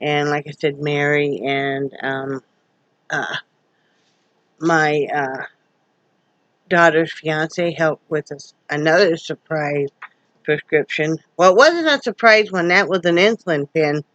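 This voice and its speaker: A woman's voice: deep voice, slow, fairly bright tone. A wheezy middle-aged woman with a sore throat, talking folksy and rambling at home. The sound is muffled and lo-fi, and the room is dry, with no echo. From Wyoming, USA. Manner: folksy, rambling, many fillers. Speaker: vlogger. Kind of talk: casual home vlog chat. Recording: dull lo-fi home recording.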